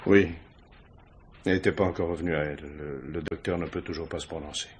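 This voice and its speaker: This is a cornered male suspect in an old radio mystery, with a deep and very husky voice. He is touchy and evasive, answering curtly, then stammering indignantly. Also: steady tone, fast. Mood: curt, guarded. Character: defensive, irritable male suspect, evasive and uneasy. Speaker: radio drama actor. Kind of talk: 1950s French radio mystery acting.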